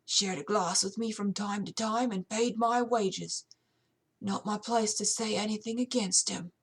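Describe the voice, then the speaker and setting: A woman acts out a grumbling old English manservant in a storybook mystery. The voice is raspy and gruff, with plain servant talk and a put-on British accent. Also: mid-range voice, fairly even intonation, a little slow. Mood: gruff, matter-of-fact.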